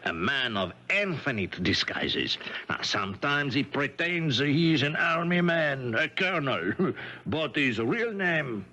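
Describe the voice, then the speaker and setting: A genial police chief in an old radio drama. He speaks in a forceful, slightly rough voice that moves up and down in lively swings. His manner is courtly and theatrical as he carefully explains things. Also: mid-range voice, unhurried. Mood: knowing, courteous. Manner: courtly, hesitant, chuckling, explanatory. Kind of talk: 1970s radio drama acting. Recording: vintage 1970s radio broadcast.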